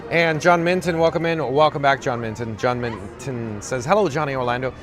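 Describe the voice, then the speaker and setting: A man with a raspy voice hosting a casual livestream outdoors, reading chat aloud in a dry, self-mocking monotone while holding his camera rig. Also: mid-range voice, brisk. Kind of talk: casual live-stream chatter. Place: outdoors on a parade route. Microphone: camera rig held by the speaker. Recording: outdoor crowd with music behind.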